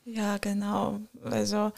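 A young woman with a gravelly edge to her voice, speaking German as a second language. She talks casually as a podcast guest, hunting for words and filling gaps with hesitations. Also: mid-range voice, completely flat delivery, slow pace, darkish tone. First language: not German. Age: young adult. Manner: searching for words, many fillers, non-native phrasing. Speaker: guest, college student.

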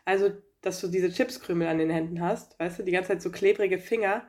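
A woman's voice: lowish voice, brisk, a little mellow. A woman from Franconia co-hosting a podcast, talking in a rambling, hesitant way with lots of fillers. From Franconia, Germany. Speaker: podcast co-host. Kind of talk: casual chat.

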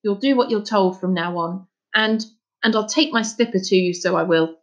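A woman's voice: mid-range voice, normal speed, muffled recording, natural voice. A woman voicing a stern, troubled father in a storybook, with blunt, scolding, folksy speech. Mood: angry, scolding. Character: stern, anguished Scottish father, gruff and scolding.

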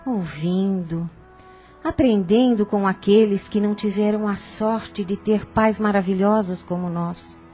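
An actress with a Brazilian accent playing a gentle, sensible, consoling younger sister in a radio soap opera. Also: female voice, mid-range voice, lightly acted. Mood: wise, gentle. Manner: earnest, measured, theatrical. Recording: music under the voice.

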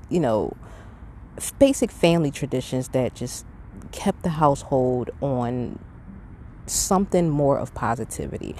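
A woman's voice: fairly low pitch, dark tone, a little hiss or hum. A middle-aged woman hosting a podcast, talking in a casual, reflective monologue with an earnest, conversational and emphatic manner. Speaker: podcast host.

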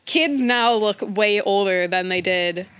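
A woman's voice: mid-range voice, slow, a bit bright, smooth, no room sound. A woman of twenty, a podcast host, chatting casually and rambling with lots of "like". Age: 20s. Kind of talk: casual chat.

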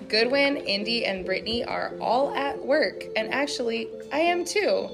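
An upbeat woman hosts a podcast from a small studio and asks her guests questions with clear enthusiasm. Her voice sits in an ordinary middle pitch. Music plays clearly behind her. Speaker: podcast host. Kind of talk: podcast interview hosting.

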